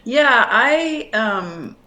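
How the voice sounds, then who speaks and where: A woman's voice: a little high, lively intonation, drawn-out words, pushed and bright. A middle-aged woman singer-songwriter from New York, talking thoughtfully about her music in an interview, often restarting sentences. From Long Island, New York. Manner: thoughtful, reflective, with many restarts. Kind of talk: interview conversation.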